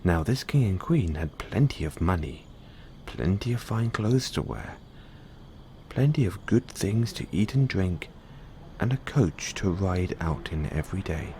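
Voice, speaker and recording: Lively low-voiced man reading bedtime stories. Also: a little slow, slight background noise. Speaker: audio roleplay performer. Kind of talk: bedtime storytelling.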